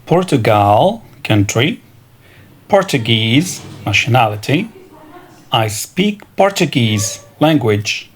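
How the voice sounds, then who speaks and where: A man teaching English vocabulary, reading country and nationality words in a slow, deliberate drill. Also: mid-range voice, sing-song. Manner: slow, deliberate, spells out each word. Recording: clear background noise.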